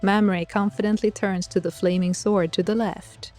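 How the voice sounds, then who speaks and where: A woman's voice: medium pitch, steady tone, normal speed, darkish tone, faint music behind. A woman narrating a scripted audio drama as a wry storyteller.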